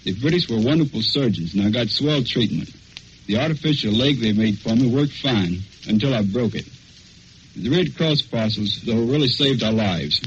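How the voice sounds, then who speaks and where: A man's voice: medium pitch, expressive, moderate pace, lo-fi sound, slight background noise. A young American man giving scripted first-person testimony as a wounded soldier on a 1940s radio broadcast. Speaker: wounded soldier recounting combat.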